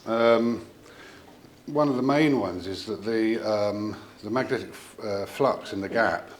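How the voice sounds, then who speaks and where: Low-voiced man explaining gear, noisy room. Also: measured pace. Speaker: audio company representative presenting a product. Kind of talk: informal technical product explanation.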